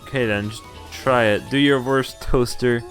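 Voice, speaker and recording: A man's voice: medium pitch, fairly even intonation, normal speed, a bit bright. A man live-streaming a visual novel, reading the dialogue and narration aloud with playful, casual asides. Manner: playful, breaks into laughs and asides while reading. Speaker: game streamer. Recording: music behind the voice. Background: game background music.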